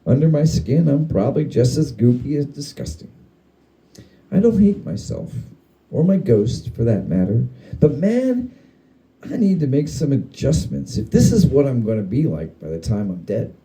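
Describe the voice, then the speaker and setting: A man reads a humorous spooky story aloud as a dramatic performance. His voice is very dark and mellow, with animated pitch and wry, emphatic phrasing. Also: normal speed, poor audio. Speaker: story reader.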